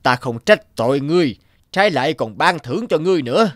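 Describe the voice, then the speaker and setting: A man's voice: on the high side, animated, fast, soft background music, close to an everyday voice. Man acting grand cartoon king, musical backing. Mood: reassuring. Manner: grand, declamatory. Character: stern but magnanimous grown king.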